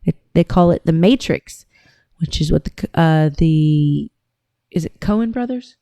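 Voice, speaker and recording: A woman's voice: sits low, lively intonation, a little slow, gravelly, dark tone, no room sound. A woman from the US South hosting a casual livestream, talking in a chatty, rambling way that often trails off and restarts. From Georgia, US South. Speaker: livestream host.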